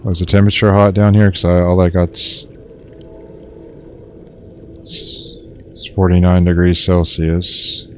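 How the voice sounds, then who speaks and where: A young man casually commenting while he streams a survival game, in a low-key monotone, rambling with fillers and restarts. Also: unhurried, long pauses, a little hiss or hum.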